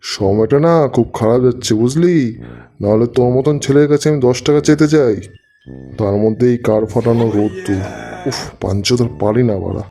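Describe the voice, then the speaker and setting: A man's voice: mid-range voice, a little fast, dark tone, soft background music, lightly acted. A man voicing a broke, foul-mouthed young slacker in a comedy cartoon, teasing and cajoling in slang. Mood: annoyed. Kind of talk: Bengali comedy cartoon voice acting.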